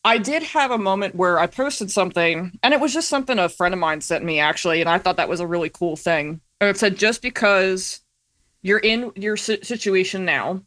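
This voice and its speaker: A woman's voice: medium pitch, brisk, a bit bright. A woman talking casually and candidly as a podcast guest, telling informal stories and acting out bits of past conversations. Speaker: podcast guest, creative filmmaker.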